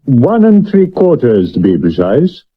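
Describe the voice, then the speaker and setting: Pompous victorian english detective type, cool and bossy, old lo-fi radio drama sound. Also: male voice, mid-range voice, wildly swooping pitch, a little slow. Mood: calm. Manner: pompous, unruffled, commanding. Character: imperious, self-assured Victorian actor-detective gentleman. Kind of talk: 1970s British radio drama acting. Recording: dull lo-fi vintage radio recording.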